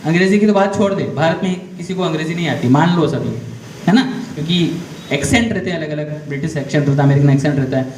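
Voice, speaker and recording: A man's voice: fairly high pitch, fast, darkish tone. A man giving a motivational career guidance talk to school students, often asking the class questions. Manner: addresses the audience with frequent direct questions; storytelling, explains step by step. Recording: echoey room, faint background noise.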